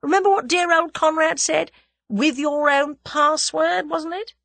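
A woman's voice: on the high side, very animated, normal speed, sharp tone, no room sound, pitched above the performer's own voice, exaggerated voice. A woman voicing a cool, cocky young Englishman, scornful and confident as he bluffs his captors in a story. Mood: mocking.

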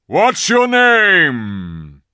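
Guy doing a big commanding storybook lion, brisk but kindly, booming, pitched up from his own voice. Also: big pitch swings, sharp tone, dry recording, studio quality, exaggerated voice. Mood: hailing, roaring.

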